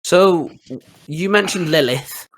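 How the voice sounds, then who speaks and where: A man's voice: a little high, takes it slowly, a bit bright, rough recording. Man hosting a casual podcast. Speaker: podcast host. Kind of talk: casual podcast chat, questioning and commenting.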